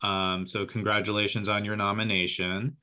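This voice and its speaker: A man hosting a podcast interview, friendly and relaxed, with fillers. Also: fairly low pitch, moderate pace, smooth. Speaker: podcast host. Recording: dull lo-fi audio.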